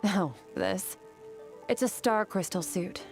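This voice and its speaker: A woman playing an aloof, stoic young warrior in a game, speaking in a cool, measured, matter-of-fact way. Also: medium pitch, animated, soft background music. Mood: calm, detached. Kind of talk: game character acting.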